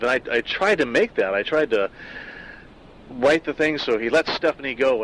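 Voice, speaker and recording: A man's voice: mid-range voice, fairly bright tone, slight background noise, low-quality recording. A middle-aged man talking casually and thoughtfully about a story he wrote, with frequent fillers. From Southern California. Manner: reflective, conversational, loose with fillers. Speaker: author discussing his own story. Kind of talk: casual spoken afterword.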